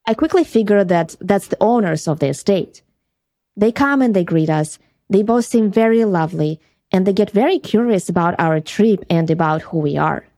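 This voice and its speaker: A woman's voice: mid-range voice, expressive, moderate pace, warm tone, no room sound, clean studio sound. Ukrainian woman telling a personal story. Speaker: podcast host. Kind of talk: first-person storytelling podcast.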